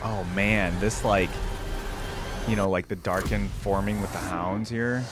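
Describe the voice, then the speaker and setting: Low-voiced man giving casual reactions. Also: steady tone, measured pace, noisy background. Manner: impressed, informal, thinking aloud. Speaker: reaction video host. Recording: music playing behind.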